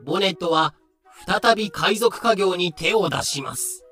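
A man's voice: high voice, unhurried, a bit bright, faint music behind. A man reading scripted narration for a voice drama.

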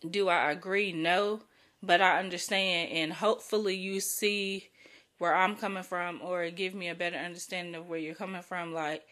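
A woman's voice: on the low side, fairly even intonation, normal speed, a bit bright. A young adult woman hosting her podcast, talking casually and frankly about relationships while sick with a cold that strains her voice. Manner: chatty, frank, uses slang and light swearing. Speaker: podcast host. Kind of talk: casual solo podcast monologue.

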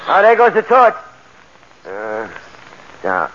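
An actor playing a nervous young accomplice in a 1940s radio drama, uneasy and full of questions. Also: male voice, fairly high pitch, huge pitch swings, slow, noisy background, full character voice. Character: nervous young male accomplice, anxious sidekick. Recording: muffled old radio recording.

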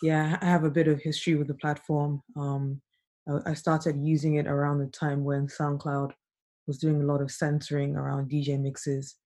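A woman hosting a remotely recorded podcast interview, talking in a soft, relaxed way. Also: deep voice, normal speed. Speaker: podcast host. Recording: not studio quality, remote.

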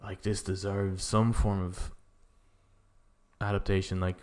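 A man with a fairly low voice chats casually as a podcast co-host. He rambles through his opinions, thinking aloud and swearing freely as he works out each point. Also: flat delivery, unhurried. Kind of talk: casual opinionated chat about films and games.

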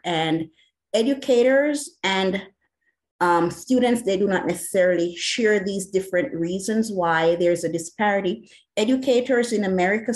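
A woman's voice: lowish voice, darkish tone. Earnest Jamaican woman educator. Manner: earnest and passionate, many "you know" fillers. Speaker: educator and academic. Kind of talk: interview answer.

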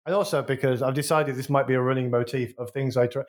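A man's voice: mid-range voice, steady tone, quick pace. A man from Wolverhampton with a West Midlands accent, co-hosting a casual music podcast in a chatty, rambling way full of restarts. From Wolverhampton, England. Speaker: podcast co-host.

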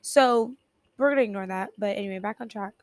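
A young woman hosting a solo podcast, her voice raspy from just waking up, rambling and cutting herself off with little laughs. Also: mid-range voice, fairly quick, dry recording. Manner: rambling, self-interrupting, giggly. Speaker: podcast host. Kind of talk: casual solo podcast chat.

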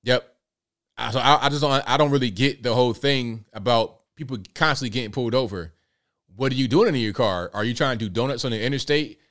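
A man in his late thirties hosting a casual livestream, talking in a relaxed way and reading out viewer comments. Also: mid-range voice, fairly quick. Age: late 30s. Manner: laid-back, conversational, repeats himself. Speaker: livestream host.

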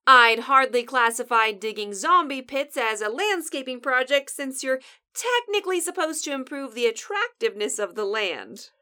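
Woman acting a bossy sarcastic lady survivor radio host, high sharp voice, dry and exasperated, comedy audio drama. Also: lively intonation, moderate pace. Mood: polished hosting.

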